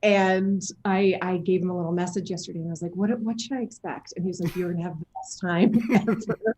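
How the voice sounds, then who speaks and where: A woman chatting casually as an interview guest, talking in an effusive, animated storytelling way. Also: on the low side, quick pace. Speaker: interview guest, game voice and motion capture actor.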